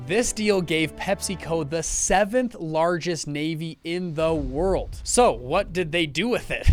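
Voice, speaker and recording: Upbeat man telling a business story. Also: a little high. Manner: upbeat, jokey asides to camera. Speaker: host. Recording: light background music.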